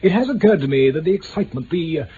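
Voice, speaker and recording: An actor with an upper-class English accent playing a stiff, haughty lord, hesitant and condescending, in mid-century radio drama style. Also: male voice, fairly high pitch, lively intonation, fast talker, mellow, close to an everyday voice. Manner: hesitant, pompous, formal. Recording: dull, hissy old radio recording.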